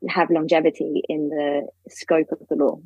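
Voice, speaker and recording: Husky woman on a muffled recording. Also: deep voice, level tone, normal speed, darkish tone, dry recording. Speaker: legal expert guest. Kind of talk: informal conversational explanation.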